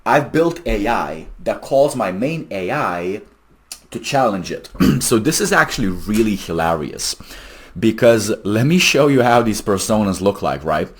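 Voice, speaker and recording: A man presenting a casual explainer video in an informal, emphatic, salesy way with some slang. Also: on the low side. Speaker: AI phone agent developer presenting a video.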